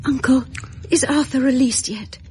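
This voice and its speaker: High, pleading young British gentlewoman. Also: moderate pace, husky. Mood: anxious, pleading. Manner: pleading, fervent. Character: earnest, distraught young gentlewoman in her early twenties. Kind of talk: radio drama acting.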